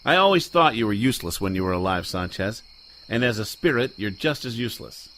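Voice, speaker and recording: An actor playing a sardonic, deadpan noir detective who both narrates and talks in a radio drama. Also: male voice, lowish voice, brisk, a little hiss or hum. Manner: sardonic, deadpan, world-weary. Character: cynical wisecracking hardboiled male noir detective, Joe Smoke.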